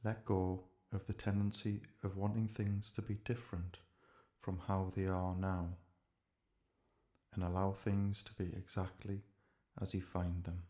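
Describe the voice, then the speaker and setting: Deep, mellow-voiced male meditation guide. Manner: gentle, unhurried, calm, measured phrasing with pauses. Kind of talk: slow guided relaxation meditation.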